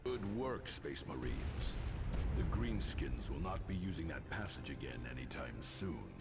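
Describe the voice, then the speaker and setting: An actor playing a stern space marine commander, authoritative and formal, in a sci-fi military game briefing. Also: male voice, extremely low pitch, very animated, unhurried, far from the mic, over loud music, heavily acted. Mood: resolute.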